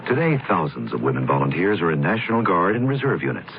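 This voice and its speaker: A man's voice: low voice, big pitch swings, fast talker. A man reading a scripted public service announcement for radio. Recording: dull old broadcast, faint noise.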